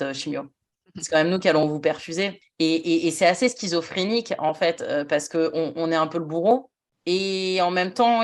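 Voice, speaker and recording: Woman, lowish voice, reflective, thinking aloud, podcast host. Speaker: podcast host, former nurse. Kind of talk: casual podcast conversation.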